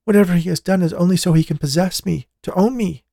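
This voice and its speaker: A man's voice: sits high, fast talker, gravelly, mellow, no echo, clean studio sound, pitched above the performer's own voice. A man voicing a frightened young woman raised from a painting, who speaks in a pleading, tearful, urgent way.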